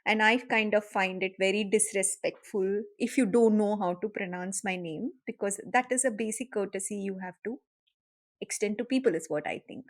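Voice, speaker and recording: A woman's voice: medium pitch, normal speed. A middle-aged woman with an Indian accent, co-hosting a podcast and talking earnestly and reflectively with her daughter. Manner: reflective, earnest, laughs easily. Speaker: podcast co-host, mother. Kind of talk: casual conversation.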